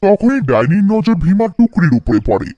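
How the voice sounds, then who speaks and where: A man's voice: high voice, sing-song, a little fast, dry recording, dull audio. A man narrating a scripted cartoon folktale for children.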